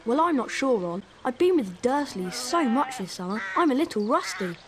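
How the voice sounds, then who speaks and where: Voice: high voice, very animated, moderate pace. An actor playing a polite, modest young schoolboy wizard in a video game. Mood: hesitant.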